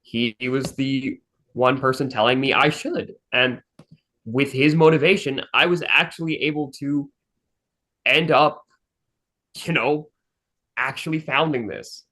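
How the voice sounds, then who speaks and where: A man with a very bright, forward voice chats casually as a podcast host. He speaks in an earnest, emphatic way at a medium pitch. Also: measured pace, pauses often. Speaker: fandub director and podcast host. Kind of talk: casual chat.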